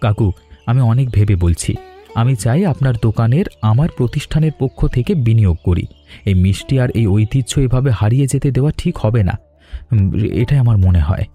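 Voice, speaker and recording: A man voicing a courteous, reassuring young traveller in a cartoon, speaking politely and earnestly in measured phrases. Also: mid-range voice, animated, mellow, natural voice. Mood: sincere. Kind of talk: cartoon drama dialogue. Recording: music under the voice.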